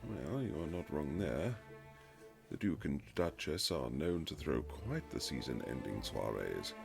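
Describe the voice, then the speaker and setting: A man playing a reserved, formal Regency husband who is awkwardly tender, speaking in halting period phrases in a romantic audio roleplay, with a gravelly voice. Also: rumbling low, big pitch swings, darkish tone, faint music behind, heavily acted. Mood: musing, wistful.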